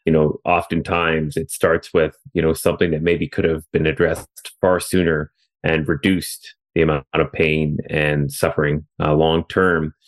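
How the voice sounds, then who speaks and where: A man's voice: rumbling low, level tone, normal speed. A Canadian man hosting a podcast interview, chatty and loose, full of fillers and restarts. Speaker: podcast host. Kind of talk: conversational interview. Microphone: remote call.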